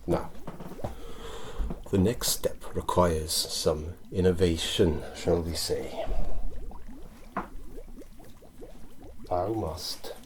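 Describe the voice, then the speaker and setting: A man with a Welsh accent playing a sinister, pompous Elizabethan alchemist in a hushed, deliberate ASMR roleplay. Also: low voice. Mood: measured, secretive. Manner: archaic, pompous, deliberate phrasing. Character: wretched, sinister Elizabethan male alchemist, grandly mystical. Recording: light steady electrical background noise. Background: liquid sounds and clicks.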